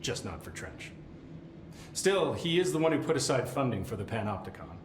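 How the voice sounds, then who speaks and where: An actor playing a fussy, deadpan middle-aged keeper of strange items, with dry rambling talk and awkward fillers. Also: male voice, mid-range voice, moderate pace, lightly acted. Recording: echoey game cutscene with faint hiss.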